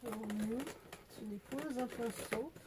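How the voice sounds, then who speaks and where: A woman's voice: fairly high pitch, unhurried, very noisy. A young woman with a cold and a stuffy voice, chatting casually as she unboxes beauty products, hesitant and full of fillers and restarts. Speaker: beauty vlogger unboxing an advent calendar.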